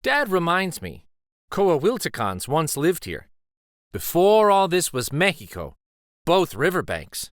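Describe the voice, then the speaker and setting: A man reading a children's picture book aloud with expressive, deliberate storytelling delivery. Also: fairly high pitch, no echo, studio quality.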